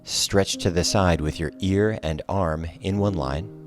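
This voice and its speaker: Slow, deep-voiced man guiding relaxation. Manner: calm, soothing, short measured cues with counted breaths. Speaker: yoga teacher. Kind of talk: guided relaxation yoga instruction. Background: soft guitar music.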